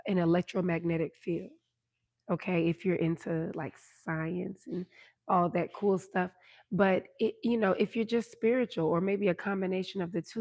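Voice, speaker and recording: Hoarse woman giving a tarot reading. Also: fairly low pitch, darkish tone. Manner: soothing, repeats "right?" and "okay?". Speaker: tarot reader.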